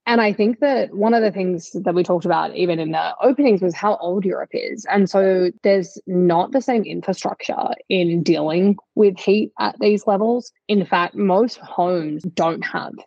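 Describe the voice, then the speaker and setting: A woman with a gravelly, fairly low voice talks through news as a podcast co-host. She explains things reflectively and conversationally. The recording is dry and slightly dull. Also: measured pace, hardly any pauses, warm tone, no echo.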